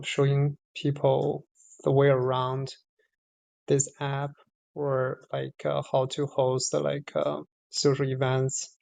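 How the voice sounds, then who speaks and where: A man's voice: medium pitch, creaky, darkish tone, no room sound. A man who is a new member, speaking hesitantly with many fillers in a casual audio chat.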